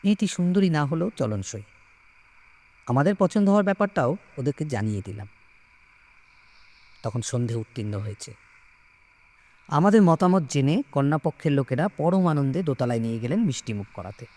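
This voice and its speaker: A man's voice: fairly high pitch, lively intonation, normal speed, long pauses, darkish tone. Dramatic male storyteller. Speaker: storyteller, narrator. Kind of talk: scripted first-person horror story narration.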